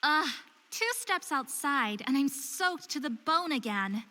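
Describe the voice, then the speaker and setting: A woman's voice: high voice, expressive, slow, pushed and bright, long reverb tail, heavily acted. A woman playing an earnest, stubborn and curious young woman of faerie descent in a visual novel game. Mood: musing. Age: young adult. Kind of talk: visual novel voice acting with inner monologue.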